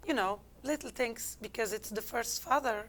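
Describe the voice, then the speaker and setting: A woman's voice: on the high side, moderate pace, fairly bright tone. Algerian-accented woman telling her story. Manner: candid, reflective, non-native English phrasing. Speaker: interview guest. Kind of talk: personal storytelling in an interview.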